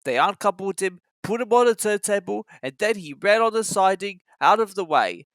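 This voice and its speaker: Lively storybook narrator, dry sound. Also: medium pitch, normal speed, dry recording. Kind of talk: expressive children's read-aloud narration.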